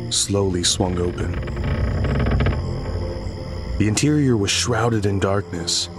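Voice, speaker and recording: A man's voice: on the low side, slow. A man narrating a scripted first-person horror story as part of an audio drama. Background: music.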